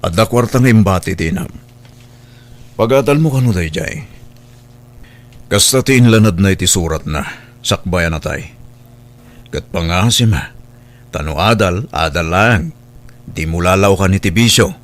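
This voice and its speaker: A man plays a steady Filipino uncle in a radio drama. His low voice is measured and calm, counseling like a patient elder relative. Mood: calm, consoling. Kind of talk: Ilocano radio drama acting.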